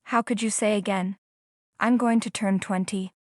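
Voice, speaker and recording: A woman giving a calm, scripted lesson in plain English, pausing to quiz the listener. Her pitch sits mid-range and the sound is close and dry, with no room around it. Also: no room sound. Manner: slow, prompting questions to the viewer. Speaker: language teacher.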